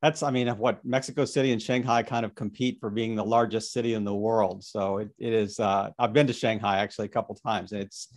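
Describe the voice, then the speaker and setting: A man's voice: fairly low pitch, fairly even intonation, a little fast, hardly any pauses. Rambling male podcast host. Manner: rambling, repeats words and restarts. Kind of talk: casual interview hosting.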